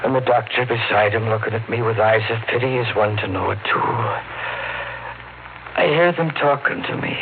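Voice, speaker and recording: A man's voice: mid-range voice, moderate pace, vocal fry, a little hiss or hum, lightly acted. An actor playing a haunted truck driver losing his mind, narrating with brooding drama in 1950s radio horror style. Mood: dazed. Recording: tinny old radio recording with loud music.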